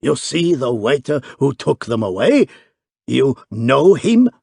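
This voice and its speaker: A man's voice: a little high, animated, unhurried, vocal fry, breathy edge, no echo, studio quality, voice pushed up, full character voice. A man voicing a panicky foreign hotel proprietor who speaks broken English and gasps for breath.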